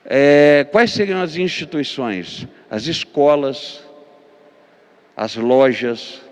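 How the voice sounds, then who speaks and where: A man's voice: medium pitch, slow, long reverb tail, muffled recording. A Brazilian man with a Minas Gerais accent, a pastor giving a lecture-style teaching, hesitating with fillers and repeated words, then driving his points home with emphatic lists. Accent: Brazilian Portuguese, Minas Gerais.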